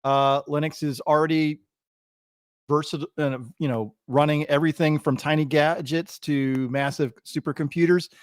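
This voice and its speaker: A man's voice: a little high, fairly even intonation, moderate pace, clean tone, no room sound. Laid-back male co-host, dry mic. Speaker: podcast co-host. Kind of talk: casual podcast chat.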